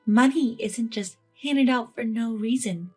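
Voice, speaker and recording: A woman's voice: a little high, measured pace, a little mellow, slightly rough, soft background music. A woman voicing a stern, exasperated older mother-in-law in a dramatized family story, firm and scolding.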